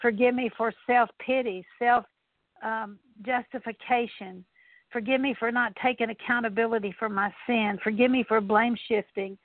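A woman's voice: on the high side, fairly even intonation, moderate pace, no room sound. A woman leading a spoken prayer of repentance, earnestly repeating one confession after another. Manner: earnest, repetitive litany of confessions. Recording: tinny phone-like line, dry.

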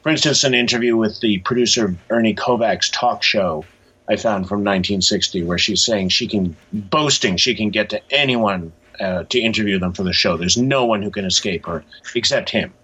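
A man's voice: fairly low pitch, normal speed, sharp tone, husky. A man being interviewed as an author, reflecting in a hesitant, thoughtful way as he talks. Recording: dull, lo-fi sound.

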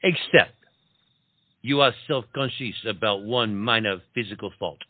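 An actor playing an urbane French marquis and officer, a loyal friend who coaxes and reassures, dropping French words into comic dialogue. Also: male voice, lowish voice, measured pace, phone-quality sound. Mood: teasing. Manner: coaxing, sprinkles speech with French words. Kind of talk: theatrical comedy audio-play acting.

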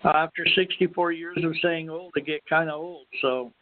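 Through a narrow, phone-like signal comes a man with a fairly high voice, chatting as a radio host. He rambles in a halting, casual way and pokes fun at himself. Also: steady tone, a little hiss or hum. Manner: halting, rambling, self-deprecating. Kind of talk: casual chat. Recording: phone-like, band-limited web radio audio.

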